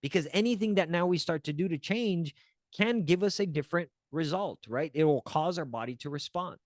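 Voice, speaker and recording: A man's voice: on the high side, expressive, a little fast, no echo, studio quality. A man teaching as a wellness coach, earnest and encouraging, often checking in with the listener and restarting phrases as he searches for words. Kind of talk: teaching talk to camera.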